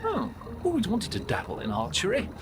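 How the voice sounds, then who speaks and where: An actor playing a posh, excitable young pet mouse with a British accent, prim, polite and easily flustered, in a video game. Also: male voice, sits high, a little fast, over music. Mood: polite, nervous.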